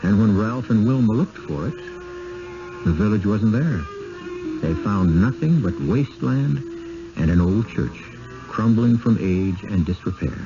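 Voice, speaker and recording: A man with an American accent narrates a radio mystery as its host. His voice is on the low side, measured and theatrical, with clear music behind it on a muffled vintage broadcast. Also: expressive. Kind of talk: scripted radio drama narration.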